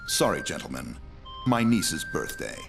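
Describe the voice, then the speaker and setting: A man's voice: extremely low pitch, big pitch swings, a little slow, vocal fry, over music, heavily acted. An actor playing a man who talks like a doting uncle, cooing and reassuring. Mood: sugary, affable.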